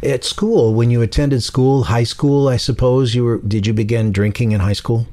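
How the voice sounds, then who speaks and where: A man hosting an interview podcast, asking questions in an easygoing, unhurried way. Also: medium pitch, fairly even intonation, mellow. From San Francisco Bay Area. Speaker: podcast host. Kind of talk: casual interview.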